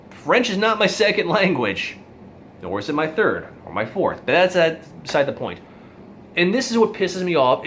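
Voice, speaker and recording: A man's voice: on the high side, a bit bright, a little hiss or hum. A young man ranting in a blunt, rambling monologue about online reactions, exasperated and plain-spoken. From Florida. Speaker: vlog host.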